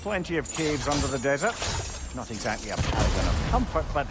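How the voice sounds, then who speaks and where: A man plays an old Scottish storyteller sage in a cinematic game. His middle-pitched voice moves in very lively curves. He is chatty, wry and learned, with clear noise behind him. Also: fairly quick, close to an everyday voice. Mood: wry, storytelling. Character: witty old storytelling sage, a talking severed head. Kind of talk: cinematic video game acting.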